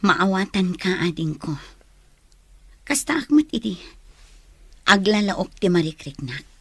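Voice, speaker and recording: A woman's voice: mid-range voice, very animated, a little slow, fairly bright tone, breathy edge, no echo, full character voice. A woman playing a patient, concerned elder sister in a radio drama. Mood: sympathetic. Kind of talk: radio drama acting in Ilocano.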